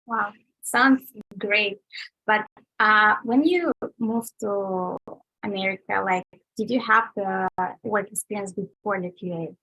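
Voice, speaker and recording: A woman's voice: medium pitch, takes it slowly, pushed and bright, rough recording. A woman hosting a casual interview over a video call, asking simple friendly questions. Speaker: host, interviewer.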